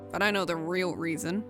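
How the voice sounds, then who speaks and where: A woman voicing Silverstreak, a sullen, easily frustrated adolescent pegasus girl in an animated storybook drama. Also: fairly low pitch, lightly acted.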